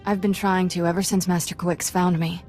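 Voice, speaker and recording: A woman's voice: on the low side, level tone, brisk, a little mellow, faint music behind, natural voice. A woman playing a wry, earnest young Jedi apprentice in a video game. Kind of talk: dramatic video game acting.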